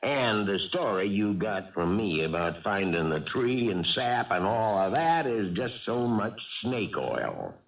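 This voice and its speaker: A man's voice: on the low side, big pitch swings, unhurried, hardly any pauses. An actor playing a wily, fatherly older baseball manager with a homespun, reassuring manner in a radio drama. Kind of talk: 1970s radio drama acting. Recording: muffled, hissy old radio broadcast.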